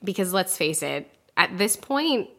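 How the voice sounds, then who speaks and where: A woman hosting a casual podcast chat who sounds croaky and nasal while getting over an illness, talking in a chatty, emphatic way with laughs. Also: mid-range voice, sing-song, unhurried, fairly bright tone. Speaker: podcast host.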